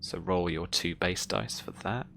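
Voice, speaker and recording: Tabletop game master, low pitch, gravelly fry, casual explaining, faint background noise. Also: a little slow, a bit bright.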